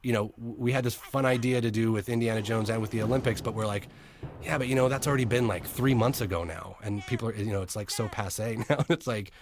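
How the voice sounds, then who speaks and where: Fast-talking low-voiced man, still sick. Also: steady tone, a little hiss or hum. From Colorado. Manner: rambling, chuckling storyteller. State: sick with a lingering flu. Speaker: show co-creator giving commentary. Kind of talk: casual commentary chat.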